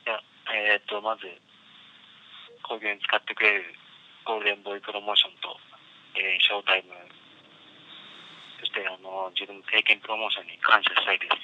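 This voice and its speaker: A man from Japan gives a polite, formal statement as a boxer. He talks very quickly in a sharp, forceful, slightly low voice. A noisy telephone line carries faint hiss. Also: little pitch movement. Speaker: professional boxer.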